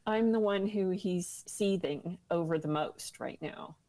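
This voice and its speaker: A woman's voice: fairly low pitch, unhurried, dark tone. A woman speaking earnestly and seriously as an interview guest, giving personal testimony.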